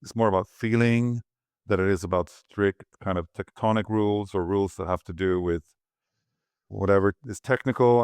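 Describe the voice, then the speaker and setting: A man's voice: deep voice, smooth, dry recording, studio quality. A middle-aged man from Sweden, a guest architect rambling and thinking aloud as he talks. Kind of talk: relaxed conversational interview.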